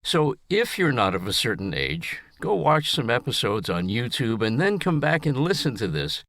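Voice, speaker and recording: Older man narrating with lively intonation. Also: medium pitch, no room sound, clean studio sound. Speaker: essayist reading own story. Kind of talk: scripted personal narration.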